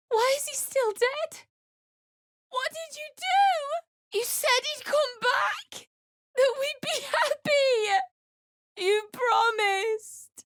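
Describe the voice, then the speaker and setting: High-voiced distraught young widow. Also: female voice, fairly bright tone, dry recording, clean studio sound, exaggerated voice. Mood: pleading, grief-stricken. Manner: emotional, pleading, blunt. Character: distraught young grieving widow, pregnant, desperate, bitter. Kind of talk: video game character dialogue.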